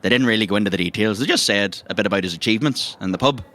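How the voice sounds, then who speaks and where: An actor playing a chatty, thirsty agency boss in a comedic audio drama, with a Northern Irish accent and a rambling, hesitant, colloquial way of talking. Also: male voice, lowish voice, racing, pushed and bright. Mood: awkward, explaining. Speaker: mission giver briefing recruits.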